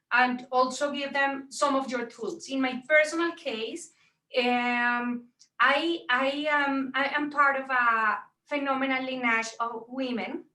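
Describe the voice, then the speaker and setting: A woman's voice: on the high side, pushed and bright. A middle-aged woman from Colombia with a strong Colombian Spanish accent and Spanish as her first language, giving an earnest talk at a virtual event, with many fillers and restarts. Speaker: tech entrepreneur and speaker. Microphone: video call. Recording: echoey, distant laptop mic on a video call.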